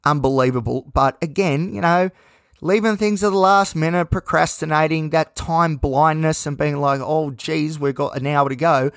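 Nervous, slightly croaky man. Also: on the high side, moderate pace, fairly bright tone, no room sound, clean studio sound. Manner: rambling, candid, with many fillers and restarts. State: nervous, dry mouth. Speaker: podcast host. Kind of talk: casual solo podcast monologue.

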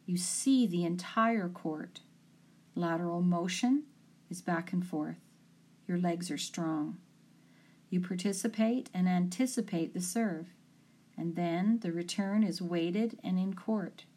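A woman coach leading a guided imagery session in a calm, measured, instructional way. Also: lowish voice. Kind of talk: guided meditation narration.

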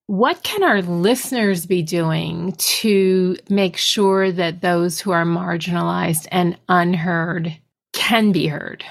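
A woman's voice: on the low side, slow, creaky. A woman hosting an interview podcast who introduces questions in an encouraging way. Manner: warm, encouraging. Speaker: podcast host.

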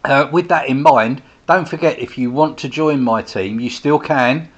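A man's voice: medium pitch, normal speed, muffled recording. A man in his sixties hosting a casual solo podcast, rambling and chatty, often restarting his sentences. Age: 60s. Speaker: podcast host.

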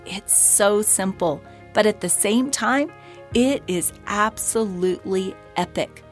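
A woman hosting a devotional podcast, earnest and encouraging, her voice hoarse and scratchy from a cold. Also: medium pitch, takes it slowly, faint music behind. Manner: earnest and encouraging, with short breathy laughs. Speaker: podcast host and ministry founder. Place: podcast studio.

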